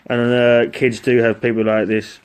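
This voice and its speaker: A man's voice: medium pitch, little pitch movement, moderate pace, a little hiss or hum, rough recording. A British man giving a mocking opinion rant as an online commentator, with a sarcastic, exasperated, informal manner.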